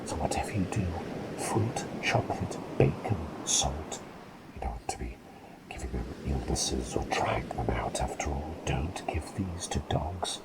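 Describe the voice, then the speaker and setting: A man voicing an eccentric, kindly plague doctor in an ASMR roleplay, whispering gently and soothingly with quaint, effusive repeated phrases. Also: extremely low pitch, expressive, a little slow, low-quality recording. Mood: gentle, chatty. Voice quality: breathy whisper with creaky edge.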